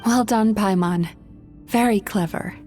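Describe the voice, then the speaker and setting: A woman playing a calm, composed young healer in a video game, talking in a measured, explanatory way. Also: medium pitch, music in the background, lightly acted. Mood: reassuring.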